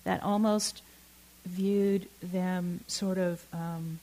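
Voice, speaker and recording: A middle-aged woman from Oakland giving a reflective talk, halting and candid, with many fillers and self-corrections. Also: fairly low pitch, fairly even intonation, mellow. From Oakland, California. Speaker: dharma talk speaker.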